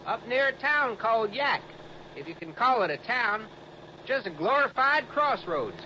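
A man's voice: very high-pitched, sing-song, measured pace, sharp tone, heavily acted. An American man playing a young, folksy, wordy insurance agent with a hesitant manner in a 1960s radio drama. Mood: folksy, explaining. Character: young, rambling male insurance agent out west. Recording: dull, noisy vintage radio recording.

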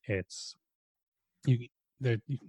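A man's voice: medium pitch, drawn-out words, warm tone, breathy edge, dry recording. A man who speaks Irish, talking things through in a casual conversation, hesitant and full of restarts. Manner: thoughtful, hesitant, many restarts and fillers.

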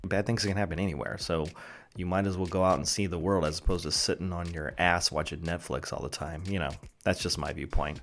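A man's voice: deep voice, fairly even intonation, fairly quick, a bit bright. Sarcastic rambling male podcaster. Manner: joking, sarcastic, tangent-prone, crude humor; chewing while talking. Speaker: podcast host. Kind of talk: rambling solo podcast monologue.

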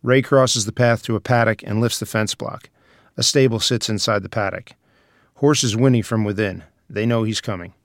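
Low-voiced man narrating a script, dry. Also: steady tone, normal speed, no echo. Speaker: narrator reading stage directions. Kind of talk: scripted narration at a screenplay table read.

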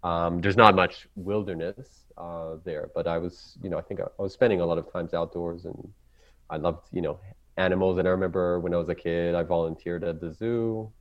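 A man from Israel with an Israeli accent, English not his native tongue, talking casually as a guest and often pausing to search for words. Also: deep voice, fairly even intonation, dull audio. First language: Hebrew. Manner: halting, searching for words, many fillers and restarts. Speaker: ecologist guest. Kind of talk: casual interview talk.

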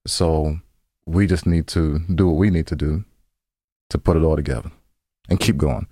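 A man's voice: extremely low pitch, steady tone, moderate pace, mellow, no echo, studio quality. A man co-hosting a podcast in casual banter, rambling informally and often repeating his phrases. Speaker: podcast co-host. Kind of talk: casual banter between co-hosts.

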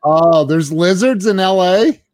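A man's voice: sits high, expressive, darkish tone, no echo. Upbeat male podcast host, dry mic. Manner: upbeat, chatty, with halting restarts. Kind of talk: casual interview.

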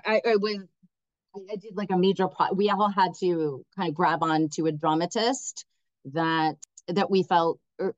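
Enthusiastic middle-aged woman, low voice. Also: no echo, muffled recording. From Miami, United States. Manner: enthusiastic, restarts often. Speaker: theater director and guest. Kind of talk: podcast interview.

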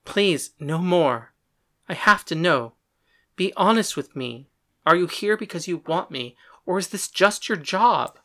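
A woman voicing a young woman who is heartsick and pleading, speaking softly and earnestly. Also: fairly low pitch, expressive, a little slow, exaggerated voice. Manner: soft, earnest and hurt.

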